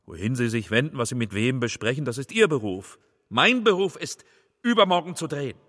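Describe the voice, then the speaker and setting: Imperious film director, bright forceful voice. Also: male voice, medium pitch, animated, brisk. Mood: cold, demanding. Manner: insistent, imperious, emphatic. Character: obsessive, commanding film director, persuasive and ruthless. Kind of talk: German radio drama acting. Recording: reverberant hall with music bed.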